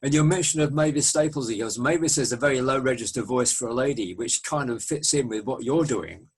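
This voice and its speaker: A man's voice: mid-range voice, fairly quick, husky. A man hosting a radio interview, chatting casually and agreeing often with short replies. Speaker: radio presenter.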